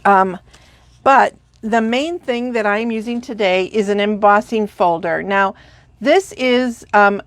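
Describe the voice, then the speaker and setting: A woman's voice: mid-range voice, no echo. A congested, sniffling woman chatting through a crafting tutorial, rambling with lots of fillers and gushing about supplies. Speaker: craft demonstrator.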